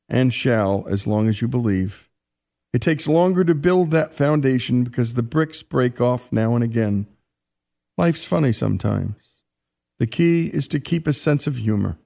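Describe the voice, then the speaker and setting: An American man hosting a radio show, narrating reflectively in a halting, solemn manner. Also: mid-range voice, vocal fry, dark tone.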